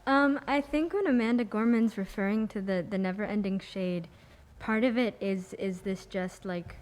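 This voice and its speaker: A woman's voice: medium pitch, moderate pace, darkish tone. A young woman on a discussion panel, giving opinions in a casual, slangy way and voicing imagined quotes. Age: young adult. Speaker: youth podcast panelist.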